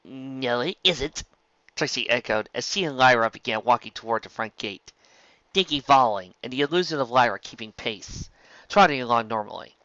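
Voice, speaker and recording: An audiobook narrator reads scripted fiction with very animated pitch and a bright tone. The recording is dry and a little dull. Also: no room sound.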